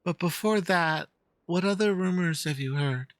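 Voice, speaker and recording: A man's voice: high-pitched, normal speed, dry recording, studio quality. A man voicing a timid, formal young female judge who hunts ghosts, reading video game dialogue hesitantly and politely. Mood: reassuring.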